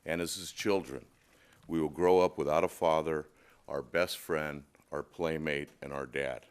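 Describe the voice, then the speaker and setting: A man solemnly reading a prepared statement in court with measured phrasing. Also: a bass voice, fairly even intonation, clean tone. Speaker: spokesman reading a family statement. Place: indoor courtroom.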